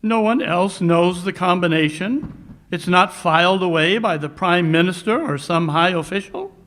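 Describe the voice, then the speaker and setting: An actor playing a courteous, precise, dryly witty English gentleman detective in a live radio mystery. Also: sits high, normal speed. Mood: measured, inquiring. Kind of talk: old-time radio drama acting on stage. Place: live stage performance at a conference.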